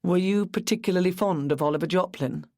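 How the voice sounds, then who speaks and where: A woman voicing a calm, kindly female police detective who questions gently and reassuringly. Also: fairly low pitch, fairly quick, no room sound, clean studio sound, pitched above the performer's own voice, lightly acted.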